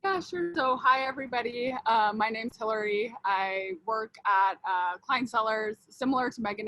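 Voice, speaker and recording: A woman's voice: mid-range voice, a bit bright, dry recording, poor audio. A young woman working in winery sales, introducing herself casually and hesitantly with many fillers.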